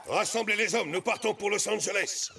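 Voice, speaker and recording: An actor playing a pompous, menacing military commander in a cartoon, contemptuous and commanding. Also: male voice, high voice, brisk, creaky, sharp tone, a little hiss or hum, heavily acted. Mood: stern, commanding. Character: haughty, menacing military commander and tax enforcer. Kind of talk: animated cartoon acting. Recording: music behind the voice.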